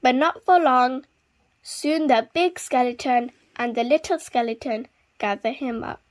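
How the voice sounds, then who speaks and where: A woman with a fairly high voice reads a picture book to young children. She takes her time, pacing each phrase slowly and leaning dramatically on repeated words. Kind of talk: expressive read-aloud storytelling for young children.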